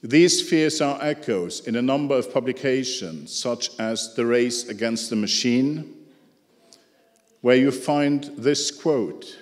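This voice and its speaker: A man delivers a prepared lecture in English, which is not his first language. He speaks in a measured way, dropping dry, ironic asides, at a middling pitch. The voice rings with the long reverb of a large hall. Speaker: speaker giving a talk.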